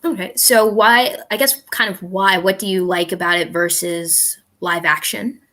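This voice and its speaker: A woman's voice: on the low side, fairly even intonation, a little slow, pushed and bright. A young woman hosting a livestream over a video call, asking interview questions in an easygoing, friendly way. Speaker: livestream host. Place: small room at home.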